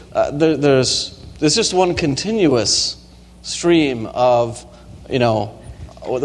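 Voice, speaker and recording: A male lecturer answers audience questions in a relaxed, halting way, often pausing on ums and restarting phrases. His voice sits at a middle pitch. The lecture hall adds a long, echoing tail to every word. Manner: hesitant, many ums and restarts. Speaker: university lecturer. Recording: reverberant lecture hall recording.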